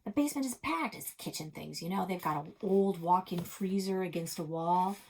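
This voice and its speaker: A woman's voice: fairly low pitch, moderate pace, a little mellow, slightly rough, low-quality recording. A woman voicing a casual, chatty local boy from a children's book, offhand and knowing. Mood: confiding. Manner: offhand, slangy, hesitant fillers.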